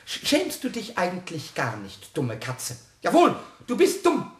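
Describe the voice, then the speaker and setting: A tiny, cheeky kobold with a very high, squeaky, childlike voice that leaps all over the place. He boasts, takes offence quickly and stumbles over repeated words in a roomy-sounding children's radio play. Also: wildly swooping pitch, a little hiss or hum, heavily acted. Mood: scornful, taunting. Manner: stammers and repeats words when worked up.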